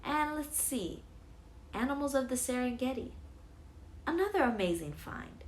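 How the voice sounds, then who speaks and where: A kindly middle-aged library clerk from a storybook, played by a woman, polite and friendly. The pitch is lifted, with faint noise behind. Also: sing-song, normal speed. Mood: pleased, encouraging.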